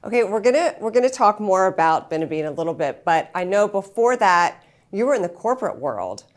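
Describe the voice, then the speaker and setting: A woman's voice: mid-range voice, very animated, fairly quick. A woman hosting an interview, asking friendly questions and rephrasing them as she goes. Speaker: host.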